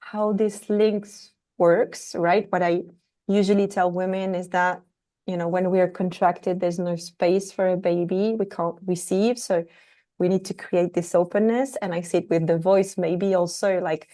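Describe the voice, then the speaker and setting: A woman's voice: on the low side, fairly even intonation, measured pace, warm tone. A woman hosting an interview on fertility, affirming and enthusiastic, often searching for her words. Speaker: podcast host, fertility coach. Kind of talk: conversational interview.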